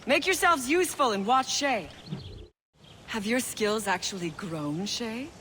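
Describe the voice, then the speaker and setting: An actress playing a stern, sharp-tongued female assassin instructor in a video game, haughty and clipped. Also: on the high side, lively intonation, a little slow, a little hiss or hum. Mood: stern, teasing. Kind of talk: dramatic video game cutscene acting.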